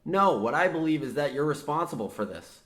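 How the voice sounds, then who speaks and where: A man's voice: fairly high pitch, animated, fairly quick, a bit bright. Man playing an exasperated, practical younger man. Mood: accusing, tense. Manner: matter-of-fact, impatient, serious. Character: exasperated, practical younger man, war veteran trapped underground. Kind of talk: parody of old-time radio horror drama.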